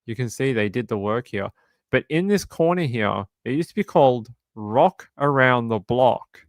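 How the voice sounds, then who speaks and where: A man's voice: mid-range voice, lively intonation, normal speed, no echo, clean studio sound. An Australian man around forty, from Sydney, chatting casually on a livestream and rambling through childhood memories with many restarts. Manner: rambling, self-correcting storyteller. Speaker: true crime streamer.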